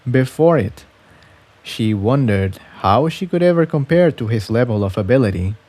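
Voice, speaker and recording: A man narrating a scripted adventure story as a reader of fan fiction, with a somewhat halting delivery that stumbles over words. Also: mid-range voice, expressive, darkish tone.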